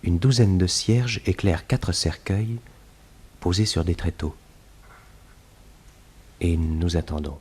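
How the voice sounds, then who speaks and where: An actor playing a detective's loyal assistant, narrating the case in the first person for a 1960s French radio drama in a husky voice. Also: male voice, deep voice, takes it slowly, long pauses, a little mellow, full character voice. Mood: suspenseful, sober.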